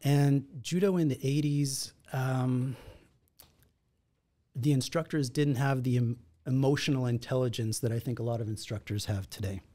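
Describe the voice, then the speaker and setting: A man in his forties from Israel, a podcast guest, telling his story in a reflective, measured way at a studio mic. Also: medium pitch, steady tone, warm tone. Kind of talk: conversational storytelling. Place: podcast studio in a martial arts gym. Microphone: boom-arm studio mic with headphones.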